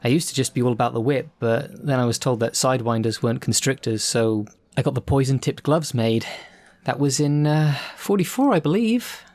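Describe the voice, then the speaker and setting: A man's voice: medium pitch, a little fast, darkish tone, close to an everyday voice. A man playing a chatty, self-deprecating supervillain for hire in an audio roleplay, rambling politely and hesitantly. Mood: wry, rambling. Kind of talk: audio roleplay, conversational in-character monologue.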